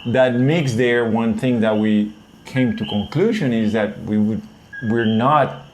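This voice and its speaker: A man's voice: mid-range voice, unhurried, darkish tone, a little hiss or hum, poor audio. A man with a Puerto Rican accent, co-hosting a vlog in casual chat, often searching for words and restarting. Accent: Puerto Rican Spanish. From Puerto Rico. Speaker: vlog co-host.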